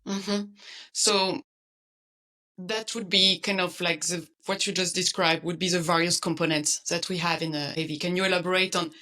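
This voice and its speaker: A woman hosting an interview podcast over a video call, friendly and quick to laugh. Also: fairly low pitch, steady tone, bright, forceful tone. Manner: friendly, laughs often, light fillers.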